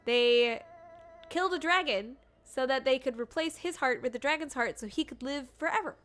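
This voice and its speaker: A woman's voice: high-pitched, normal speed, fairly bright tone. A woman game streamer giving casual, playful live commentary in a chatty, off-the-cuff way. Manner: playful, chatty, reacting as she goes.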